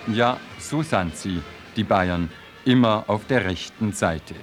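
A man's voice: lowish voice, slow, faint music behind. A man narrating a satirical radio play in a dry, ironic tone.

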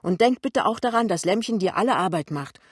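A woman's voice: mid-range voice, animated, fairly quick, slightly rough, dry recording, clean studio sound, pitched above the performer's own voice. A woman voicing a cheeky, exasperated young husband in an audiobook novel, with blunt colloquial delivery.

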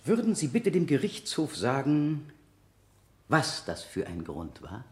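An actor playing a relentless, aggressive prosecutor in a courtroom radio drama, pressing with theatrical rhetoric. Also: male voice, medium pitch, wildly swooping pitch, measured pace. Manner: forceful rhetoric, pressing questions. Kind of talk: 1970 German courtroom radio drama acting. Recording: echoey room, 1970 radio production.

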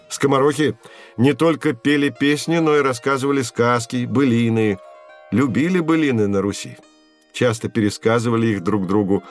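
A man's voice: medium pitch, natural voice. An actor playing a patient, knowledgeable man teaching children, explaining things like a storyteller in scripted educational narration. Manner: explanatory, storytelling, clearly phrased.

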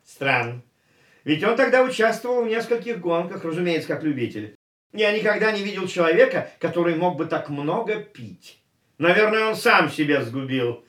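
An actor playing a talkative older casino gentleman in a radio play, telling a story in a lively way. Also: high-pitched, normal speed. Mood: anecdotal. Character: chatty older male casino gentleman, reminiscing. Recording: roomy, distant radio play recording with faint music.

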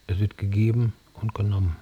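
An older actor in a radio drama playing a weary, stubborn old psychiatric patient who speaks in terse, brooding fragments. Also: male voice, fairly low pitch, fairly even intonation, fairly quick, narrow, tinny audio, slight background noise, natural voice. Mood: weary, vague. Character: weary, stubborn old male psychiatric patient, former East German dissident.

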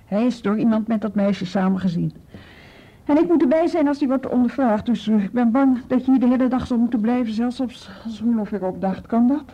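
Actress as female police inspector, Dutch from the Netherlands: higher-pitched yet mellow, sceptical and sardonic, muffled radio drama sound. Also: fairly quick, lightly acted. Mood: businesslike. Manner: questioning, sardonic. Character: sharp, sceptical female police inspector, irritated by office politics. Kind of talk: naturalistic radio play dialogue.

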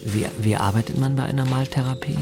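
A man playing a hesitant, halting teacher in his forties who is a hospital patient, in an audio drama. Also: mid-range voice, steady tone, music in the background, close to an everyday voice. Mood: hesitant, reserved. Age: 40s. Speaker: actor in a drama. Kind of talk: dialogue acting in an audio drama.

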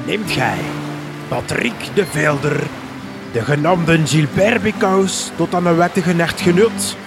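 Flemish sea captain acted by a guy, pompous n theatrical, slow high voice, loud music under it. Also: creaky. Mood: ceremonial. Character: pompous old sea captain officiating a wedding, awestruck and rambling. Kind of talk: radio comedy-horror drama acting.

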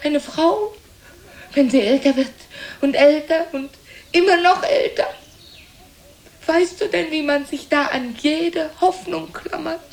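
A high-voiced old mother with a creaky edge, full of self-pity and reproach. She laments theatrically, as in a radio play, dramatic and plaintive. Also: takes it slowly, long pauses, full character voice. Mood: indignant, anguished. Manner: plaintive, dramatic, repetitive lamenting with swings in loudness. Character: aging, self-pitying, reproachful mother and travelling theatre woman.

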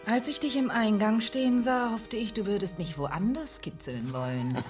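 A woman's voice: medium pitch, moderate pace, over music, close to an everyday voice. An actress playing a brash, flirtatious woman of fifty in a radio drama, world-weary, teasing and sardonic. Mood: mocking, flirtatious. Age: middle-aged.

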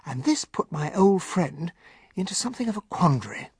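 An actor playing a gentlemanly, earnest English detective's companion who narrates a radio drama in a well-spoken, measured way with an English accent. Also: male voice, sits high, big pitch swings, husky, no room sound, clean studio sound, exaggerated voice. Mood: earnest narration. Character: gentlemanly, earnest English male sidekick narrating his memoir.

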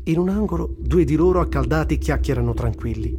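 An actor playing a wry, indignant male war journalist in an expressive, sardonic radio theatre reading of a diary. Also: fairly high pitch, a little fast, over music. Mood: tense, vivid.

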